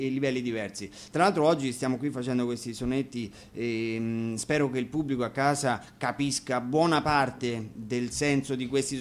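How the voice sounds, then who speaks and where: A man casually introducing songs as a guest musician, explaining things with hesitant pauses. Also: mid-range voice. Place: radio studio.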